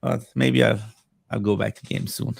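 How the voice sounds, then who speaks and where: A man from Iran whose native language is Farsi, chatting casually as a podcast guest in halting, repetitive English. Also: on the low side, steady tone, vocal fry, darkish tone, slightly rough, no echo. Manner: halting, repetitive phrasing as a second-language speaker. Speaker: podcast guest, video game collector. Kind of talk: casual chat.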